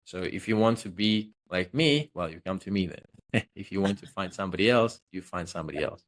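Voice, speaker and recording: A man's voice: sits low, brisk. A man from Russia, a guest consultant, talking loosely and jokily in an interview, with many fillers. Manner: loose, joking, self-promoting, many fillers. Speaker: guest, social selling consultant. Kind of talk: casual interview talk.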